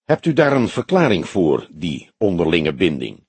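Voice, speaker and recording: A man voicing a calm, courteous old police detective in an audiobook, questioning formally and probingly. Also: sits low, moderate pace, slightly rough, dry recording, voice pushed down, exaggerated voice. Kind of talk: audiobook character dialogue.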